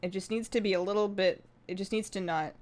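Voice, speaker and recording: A woman in her twenties chatting casually on a live game stream, rambling along in a bubbly, animated and giggly way. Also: medium pitch, fast talker. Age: 20s. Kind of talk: casual live stream chat while gaming.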